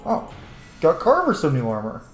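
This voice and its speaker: A man with a slightly high voice gives casual, opinionated game commentary. He is blunt and swears freely, in an echoey room with faint music behind him. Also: wildly swooping pitch, moderate pace. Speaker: gamer, streamer. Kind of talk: casual let's-play commentary.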